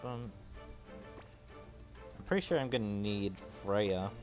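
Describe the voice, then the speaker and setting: A man hosting a gaming video, giving casual, low-key gameplay commentary with rambling asides. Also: animated, slow pace. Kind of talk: casual gameplay commentary, reading game dialogue aloud. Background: game music.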